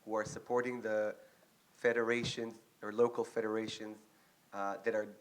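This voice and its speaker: A young adult man from Israel with an Israeli accent, giving an earnest speech to an audience as an agency representative, with frequent ums and pauses. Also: on the low side, little pitch movement.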